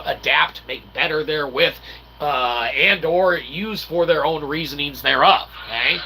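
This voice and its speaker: A man speaks in a slightly high voice with heavy fry running through it. He rambles like an amateur philosopher, stacking up wordy, mock-formal phrases and punctuating them with little check-in tags. Clear noise sits behind him. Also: pushed and bright, low-quality recording. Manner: verbose, mock-formal wording with constant "okay?" and "all right?" tags. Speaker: independent researcher and channel host. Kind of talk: rambling philosophical monologue to camera.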